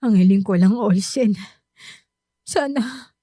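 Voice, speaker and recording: A woman's voice: medium pitch, slow, mellow, no echo, studio quality. A woman with a Filipino accent playing a bitter, wounded middle-aged mother in an emotional, melodramatic radio drama. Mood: sorrowful, apologetic.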